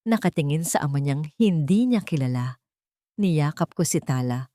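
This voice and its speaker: A woman's voice: deep voice, animated, moderate pace, warm tone, no room sound, studio quality. A woman with a Filipino accent narrating a scripted, dramatic first-person story.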